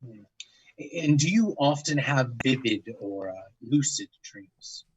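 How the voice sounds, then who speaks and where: An actor playing a formal, methodical investigator of strange events, speaking in a clinical, hesitant, measured way in an audio drama. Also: male voice, mid-range voice, expressive, slightly rough, no room sound, low-quality recording. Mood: probing, polite. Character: formal, methodical paranormal investigator, male, narrating case tapes. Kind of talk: audio drama, scripted case-file narration and interview.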